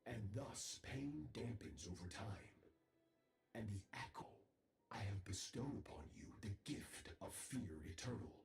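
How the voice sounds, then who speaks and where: Menacing game villain mentor, gravelly, very slow delivery, commanding, grandiose. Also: male voice, on the low side, expressive. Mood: cold, menacing. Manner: solemn, grandiose, deliberate. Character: menacing dark warrior master, cold and commanding. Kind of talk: dramatic video game acting. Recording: roomy echo.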